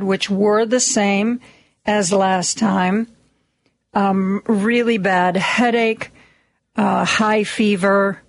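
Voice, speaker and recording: A woman hosting a radio talk show in a studio, with a slightly raspy, hoarse voice and a chatty, self-deprecating way of talking full of ums and restarts. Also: mid-range voice, no room sound. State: a little hoarse, lingering cough. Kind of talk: casual on-air monologue. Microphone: studio microphone.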